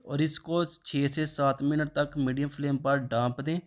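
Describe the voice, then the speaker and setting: A man's voice: on the high side, measured pace. A man, narrating a home cooking recipe step by step as the channel host. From Pakistan. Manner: steady step-by-step instructional tone.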